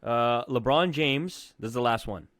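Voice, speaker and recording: A man's voice: medium pitch, a little slow, fairly bright tone, dry recording. A young man co-hosting a sports podcast, debating players in a casual way. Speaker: podcast co-host.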